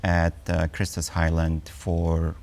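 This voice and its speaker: A man in his forties with an Egyptian accent, an oncologist speaking as a guest in a TV health interview, measured and full of ums and uhs. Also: low voice, monotone, vocal fry, darkish tone.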